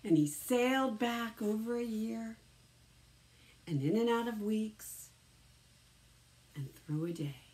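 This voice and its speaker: A woman reading a children's storybook aloud as a teacher, dramatically, with hushed phrases and drawn-out words. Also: a little high, expressive. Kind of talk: expressive children's storybook narration.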